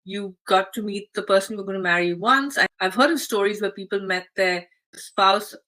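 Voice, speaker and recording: A woman's voice: medium pitch, sharp tone. Woman with Indian English accent. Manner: measured, explanatory, occasional fillers. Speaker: vlogger. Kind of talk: explanatory talk to camera.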